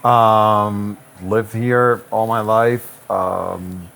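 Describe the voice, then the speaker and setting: A man's voice: lowish voice, level tone, very slow, vocal fry, a bit bright. Easygoing man in his forties. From Puerto Rico. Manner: easygoing, reflective, frequent restarts and "um". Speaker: luxury real estate broker, guest. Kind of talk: conversational interview answers.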